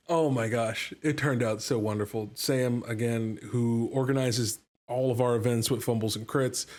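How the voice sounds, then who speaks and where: A man hosting a casual podcast, talking enthusiastically in a rambling way with lots of fillers and repeated words. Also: medium pitch. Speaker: podcast host and game master.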